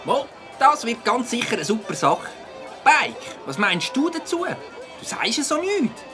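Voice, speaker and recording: An eager, naive cartoon boy chatters with lively swings in pitch. Music plays clearly behind the voice. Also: unhurried, a crowd in the background. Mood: eager, impressed. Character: enthusiastic, trusting young boy, best pal. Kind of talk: cartoon character acting.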